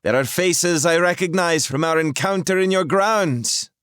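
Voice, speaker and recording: A man's voice: fairly high pitch, measured pace, a bit bright, clear, resonant tone, no echo, studio quality, voice pushed up. A man voicing a fierce young woman who guards a wolf mage, in a haughty, commanding tone. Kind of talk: audiobook character voice.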